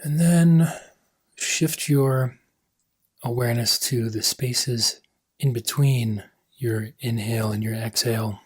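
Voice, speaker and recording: Guy doing guided meditation, kinda breathy husky voice, gentle, lots of pauses between short phrases. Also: medium pitch, fairly even intonation. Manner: gentle, unhurried phrases with pauses, trailing off softly. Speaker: meditation coach.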